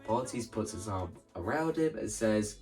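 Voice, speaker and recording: A man's voice: on the low side, animated, normal speed, darkish tone. Man explaining over music, slightly distant mic. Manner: rambling, acts out scenes and quotes lines as they go. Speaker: content creator. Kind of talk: animated explanatory vlog about staging a musical.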